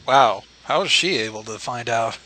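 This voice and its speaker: A man's voice: medium pitch, normal speed, sharp tone, slight background noise, low-quality recording. A man chatting casually as a livestream co-commentator, giving short reactions to a story.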